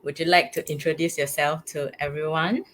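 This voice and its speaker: A woman's voice: deep voice, level tone, fairly quick, a bit bright, a little hiss or hum. A woman hosting a live stream interview, upbeat and full of gushing thanks and reactions. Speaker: host.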